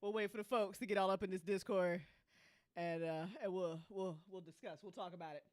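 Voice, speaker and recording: A woman hosting a casual live stream, exclamatory and quick to laugh. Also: on the low side. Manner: expressive, exclamatory, laughs easily.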